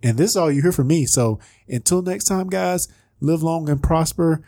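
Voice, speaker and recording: A man's voice: fairly high pitch, moderate pace, gravelly, dark tone, dry recording, studio quality. A man hosting a podcast, giving a casual, conversational introduction to an episode.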